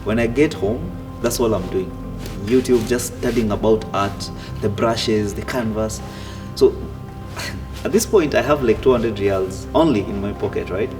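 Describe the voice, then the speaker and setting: A man, telling his own story in a relaxed way with many fillers and restarts. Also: fairly low pitch, a little slow. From Kenya. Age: 30s. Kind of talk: casual personal storytelling. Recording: distant mic with music behind.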